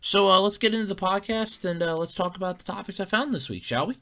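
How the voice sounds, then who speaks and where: Bright-voiced man with a scratchy throat. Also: high voice, fast talker. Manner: rambling, frequent fillers and restarts. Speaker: podcast host. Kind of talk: casual solo podcast monologue.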